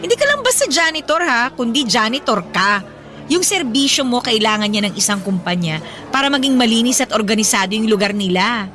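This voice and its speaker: A woman's voice: on the high side, big pitch swings, brisk, pushed and bright, soft background music. An actress playing a kind, supportive young woman in a radio drama, chirpy and encouraging, with a Filipino accent. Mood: firm, encouraging.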